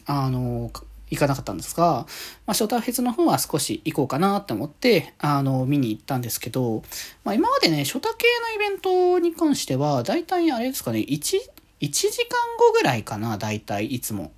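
A woman hosting a podcast, chatting casually on her own in halting, trailing phrases. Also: low-pitched, wildly swooping pitch, darkish tone. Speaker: podcast host. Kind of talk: casual solo chat about fan events.

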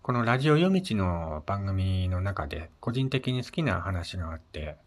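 A man hosting a casual solo radio chat, speaking politely and a little hesitantly, with soft fillers between his phrases. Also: sits low, sing-song, normal speed. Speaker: solo podcast host.

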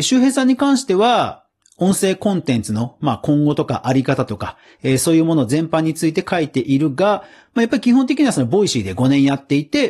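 A man's voice: a little high, a little mellow, breathy edge. A man hosting a podcast, giving casual, explanatory solo commentary on news in a conversational way. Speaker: podcast host.

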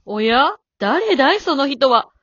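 Radio drama grandmother, female amateur: elderly, high-pitched, teasing and doting, old-folk phrasing, close dry sound. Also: big pitch swings, a little slow, fairly bright tone, no echo, lo-fi sound, exaggerated voice. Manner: old-folk drawl with hearty chuckles.

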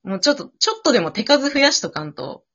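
A woman's voice: on the high side, big pitch swings, fast talker, a bit bright. A woman chatting casually after an improv drama, switching between polite and informal speech. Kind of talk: casual post-show chat. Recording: dull lo-fi audio.

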